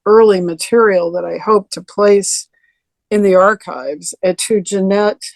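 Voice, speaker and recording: Older woman, deeper voice, rambling video-call interview guest, dry close sound. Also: unhurried, gravelly, no echo. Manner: rambling, many restarts and ums. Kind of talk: relaxed reminiscing over a video call.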